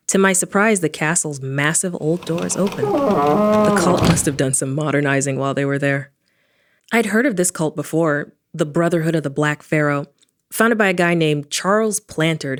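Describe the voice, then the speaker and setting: A wry, irreverent woman investigator tells her own story in an audio drama, played by an actress. Her voice sits low for a woman. The delivery is informal and sardonic, like first-person narration. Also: lightly acted. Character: sardonic young woman engineer and paranormal investigator. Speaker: protagonist narrator.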